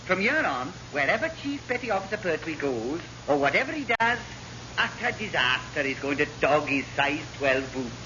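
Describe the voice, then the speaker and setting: Scheming Welsh sailor, high and animated. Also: male voice, normal speed, muffled recording. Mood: conspiratorial. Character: scheming, ambitious Welsh naval rating, gleefully vengeful. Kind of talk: classic British radio sitcom acting. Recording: noisy, band-limited vintage radio recording.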